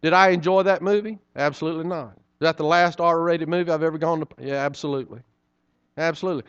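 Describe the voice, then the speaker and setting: A man's voice: a little high, fairly even intonation, fast, a bit bright, clear, resonant tone, no room sound, muffled recording. A middle-aged man, preaching a sermon in a folksy, conversational way with frequent asides to the congregation. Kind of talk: sermon storytelling.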